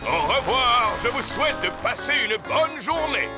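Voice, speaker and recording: A man's voice: extremely high pitch, very animated, a little slow, over loud music, full character voice. A man voicing a friendly, polite bull who works on the roads in a children's cartoon. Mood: courteous, hearty. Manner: polite, mooing. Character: friendly hardworking male bull road worker.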